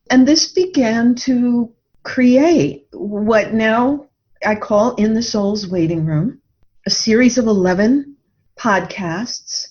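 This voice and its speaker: An older woman telling personal stories conversationally in an interview, in a reflective and expressive way, voicing her own thoughts as she goes. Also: mid-range voice. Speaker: podcast guest, writer and radio drama producer. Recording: dull band-limited lo-fi call audio.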